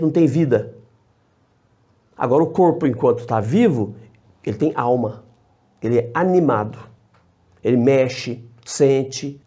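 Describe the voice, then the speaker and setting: Brief: male priest, fairly high but mellow voice, patient teacher, dull lo-fi sound. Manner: measured, explanatory, short phrases with frequent tag questions. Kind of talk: theology teaching to camera. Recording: dull lo-fi recording.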